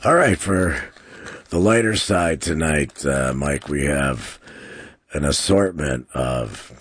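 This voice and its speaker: Very deep, husky male radio host. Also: expressive, takes it slowly, a bit bright, dry recording. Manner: jokey, chuckles at his own dad jokes. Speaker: radio talk show host. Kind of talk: casual radio banter and sermon-like talk. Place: radio studio.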